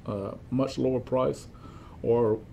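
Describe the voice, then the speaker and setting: Older man, gravelly and mellow. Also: medium pitch. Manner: halting, methodical step-by-step explaining. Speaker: repair technician demonstrating equipment checks. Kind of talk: informal instructional demonstration. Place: cluttered indoor electronics workshop. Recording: clear steady background room noise.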